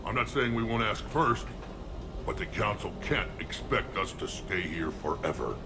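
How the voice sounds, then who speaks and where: An actor playing a proud, stubborn alien clan leader in a video game, blunt and commanding. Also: male voice, lowish voice, animated, moderate pace, over music. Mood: determined.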